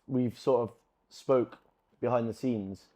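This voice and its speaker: Male podcast co-host, Brazilian accent, mellow dark tone, rambling casual delivery. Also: medium pitch, slow. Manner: rambling, restarts sentences often. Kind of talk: casual chat.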